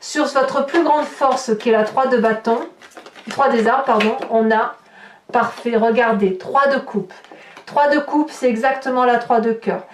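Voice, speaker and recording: A woman's voice: on the high side, unhurried, slight background noise. A woman improvising a tarot reading for her viewers, speaking expressively and addressing them directly. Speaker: tarot reader hosting a horoscope video. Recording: roomy echo, distant microphone.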